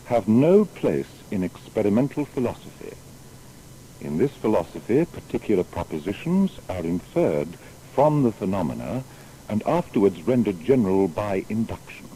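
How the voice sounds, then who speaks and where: An actor playing a learned, solemn natural philosopher from history, reading his writings formally and deliberately in an audio biography. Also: on the low side, dark tone, a little hiss or hum, poor audio.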